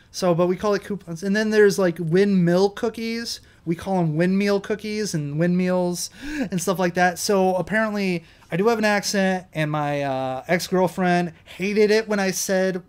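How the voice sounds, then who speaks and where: Rambling young Midwestern man, slightly high voice. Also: measured pace, a little mellow, clean tone. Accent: Midwestern American. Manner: rambling, self-correcting, swears, laughs at himself. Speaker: vlog show host. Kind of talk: casual solo commentary, reading quiz items aloud.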